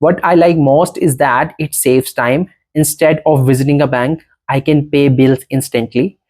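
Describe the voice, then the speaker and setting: Man coaching, slow and deliberate. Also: medium pitch, fairly even intonation, a little mellow. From Pakistan. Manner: switches between fast Hindi-Urdu and slow deliberate English; checks in with the viewer. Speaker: IELTS coach. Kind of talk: tutorial lesson mixing Hindi-Urdu and English.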